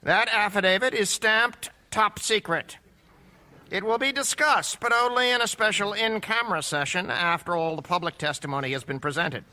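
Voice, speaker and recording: A man's voice: high voice, normal speed, sharp tone. A man playing a stern, skeptical judge in a courtroom radio drama, formal and probing. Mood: formal, measured. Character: stern, skeptical male judge presiding over a hearing.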